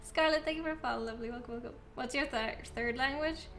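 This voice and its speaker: A woman's voice: high voice, quick pace, a bit bright, faint music behind. A young Norwegian woman with a Norwegian accent streaming a video game, chatting casually and answering chat, a little self-deprecating. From Norway.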